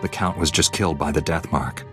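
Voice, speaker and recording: An actor playing a composed, earnest Jedi knight hero in a video game, speaking seriously and in a measured way. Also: male voice, extremely low pitch, music in the background. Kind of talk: video game cutscene acting.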